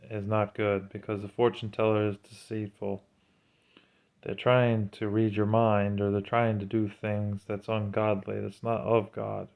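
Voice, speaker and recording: A man with a fairly low voice talks in an informal, sermon-like monologue. He sounds earnest and admonishing, like a religious vlogger cautioning his viewers. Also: darkish tone. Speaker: vlogger giving religious commentary.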